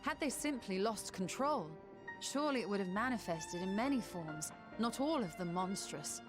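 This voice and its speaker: A woman's voice: mid-range voice, expressive, moderate pace, lightly acted. Woman as thoughtful fantasy game sage, solemn, mid voice, string music behind. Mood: solemn, pondering. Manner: formal, reflective.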